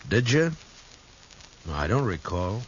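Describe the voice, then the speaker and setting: A man playing a steady, stoic frontier marshal, terse and calm, in a 1950s radio western. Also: on the low side, slow, a little hiss or hum. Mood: kindly, firm. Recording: dull lo-fi old radio recording, music.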